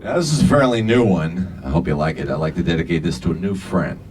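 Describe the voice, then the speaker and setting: A man's voice: sits low, lively intonation, fast talker, darkish tone, far from the mic. An actor playing a charming, wry jazz pianist talking to his audience in a radio drama, over piano music. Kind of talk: radio drama acting, stage patter.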